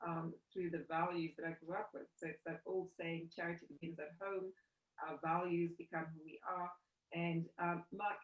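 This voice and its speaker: A middle-aged woman from South Africa, a company CEO, talking earnestly in a video call interview from a home room, often restarting her phrases. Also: on the low side. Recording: roomy, distant, dull video call audio.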